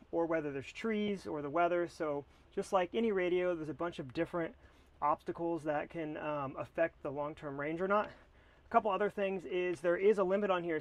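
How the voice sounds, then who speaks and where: An American man talking casually to camera as he reviews a product. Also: high-pitched.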